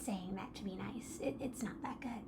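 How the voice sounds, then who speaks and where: A woman voicing a gentle, timid teenage girl who speaks softly and stammers when unsure. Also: medium pitch, moderate pace, close to an everyday voice. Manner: soft, hesitant, self-doubting, stammers. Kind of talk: audio drama acting. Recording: noisy ambient background.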